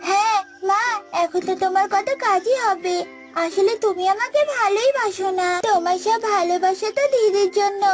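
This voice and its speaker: A woman's voice: extremely high pitch, normal speed, music in the background. A woman voicing a jealous, sulky young calf in a children's cartoon, whiny, accusing and sarcastic.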